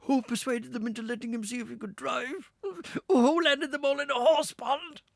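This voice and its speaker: A high, crowing toad full of himself, boasting and showing off. The voice swoops widely and carries a gravelly, husky edge. Dry, close sound with no room around it. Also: male voice, wildly swooping pitch, normal speed, fairly bright tone, no echo, heavily acted. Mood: boastful. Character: vain boastful reckless male toad.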